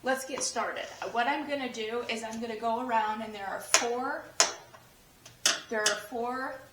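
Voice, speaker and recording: A young woman with a hoarse, fading voice, chatting through a cleaning demonstration with lots of fillers and restarts. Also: on the high side, background noise. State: losing her voice. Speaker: cleaning tips creator. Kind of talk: casual how-to demonstration. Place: home kitchen. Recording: echoey room, voice from a distance.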